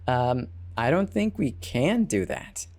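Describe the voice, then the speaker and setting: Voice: medium pitch, big pitch swings, takes it slowly, darkish tone. A narrator voicing a tired, cash-strapped, argumentative parent in a dramatic story reading. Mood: defensive pleading. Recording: background music behind the voice.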